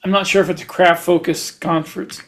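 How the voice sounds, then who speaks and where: Halting man with a higher-pitched voice. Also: flat delivery, a bit bright. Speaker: podcast host; writer. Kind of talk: casual podcast chat.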